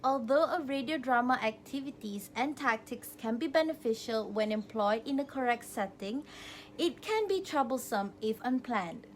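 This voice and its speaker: A woman's voice: on the high side, lively intonation, normal speed, a little hiss or hum, rough recording. A young adult woman giving an instructional student presentation, explaining things step by step from loosely followed notes. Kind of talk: semi-scripted instructional presentation.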